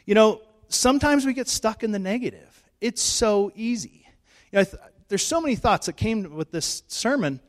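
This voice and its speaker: A middle-aged male pastor, hoarse and losing his voice, giving a chatty, self-joking sermon in church on a handheld mic. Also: sits high, lively intonation, moderate pace. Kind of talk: informal sermon. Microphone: handheld microphone.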